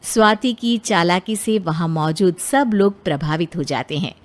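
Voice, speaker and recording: A woman's voice: lowish voice, expressive, moderate pace. A woman giving scripted narration for a cartoon story as its narrator.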